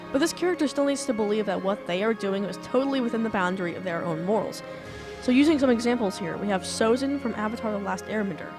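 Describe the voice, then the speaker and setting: A young woman talks through writing advice with a mid-range voice, earnest and methodical. Her voice sounds a little off after a recent illness. Faint music plays underneath. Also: mid-range voice. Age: young adult. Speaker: author and writing teacher. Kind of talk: casual explainer lecture.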